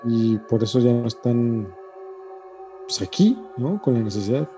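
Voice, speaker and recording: Very dark-voiced Mexican man, casual. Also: mid-range voice, expressive, measured pace, faint music behind. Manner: slangy, often tags on questions. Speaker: podcast co-host. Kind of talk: casual conspiracy podcast chat.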